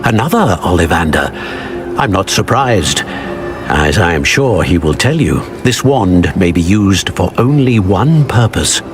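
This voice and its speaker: An actor playing a grave older wizard professor in a video game, solemn, measured and formal in his speech. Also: low voice, big pitch swings, music in the background. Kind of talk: dramatic video game acting.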